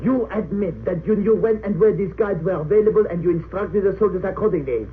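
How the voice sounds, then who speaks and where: A man's voice: high-pitched, a little fast, lightly acted. An actor in an old-time radio drama playing a stern, sneering German military judge, imperious and sarcastic as he questions and pronounces. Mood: accusing. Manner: imperious, sarcastic, clipped interrogation. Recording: tinny narrow-band old radio recording, hissy.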